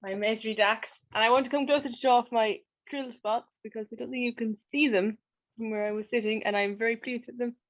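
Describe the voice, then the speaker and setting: A woman's voice: on the high side, fairly even intonation, a little fast. A woman chatting casually on a video call with thin, narrow sound. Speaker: costumed participant. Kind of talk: casual chat.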